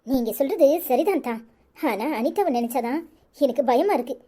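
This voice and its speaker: A woman's voice: sits high, lively intonation, normal speed, warm tone. A woman voicing a concerned, sensible young village woman, sympathetic and advising in a cartoon drama.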